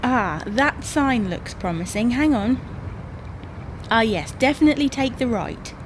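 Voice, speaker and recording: A woman playing a brisk, sarcastic, bossy but caring wife in an audio comedy drama, with a dry, chiding manner. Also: fairly high pitch, expressive, a little hiss or hum, rough recording. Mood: exasperated, dry.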